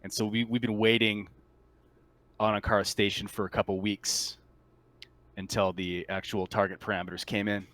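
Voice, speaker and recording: A man playing a shaken marine soldier in a tabletop roleplay, giving a halting, stammering account of a disastrous mission. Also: on the low side, little pitch movement, fairly bright tone, no room sound, natural voice. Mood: incredulous. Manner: halting, stammering, incredulous testimony. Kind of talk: improvised tabletop roleplay monologue.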